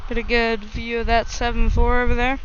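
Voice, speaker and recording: A casual livestream host with a mid-pitched voice, giving live spotting commentary and chatting to viewers. The audio is dull and lo-fi, with faint outdoor noise behind the voice. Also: monotone, brisk, a bit bright. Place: outdoors near an airport. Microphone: single microphone.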